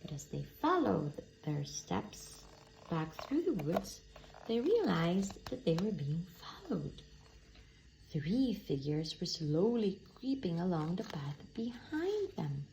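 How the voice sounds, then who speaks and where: A woman reading a children's story aloud in expressive scripted narration. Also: on the low side, slow, gravelly, slight background noise, rough recording. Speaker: storybook reader.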